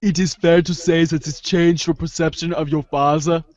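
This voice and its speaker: A man's voice: fairly high pitch, level tone, moderate pace, darkish tone, dull audio, lightly acted. A man playing a pedantic, probing, wordy amateur psychoanalyst in reader's theater, formal and analytical, tripping over long phrases.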